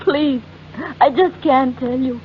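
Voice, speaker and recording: Secretive, anguished wife for old radio drama: high voice, slow hesitant pleading, dull hissy 1940s sound. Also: female voice. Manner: hesitant, halting, melodramatic. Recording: muffled, noisy 1940s radio recording.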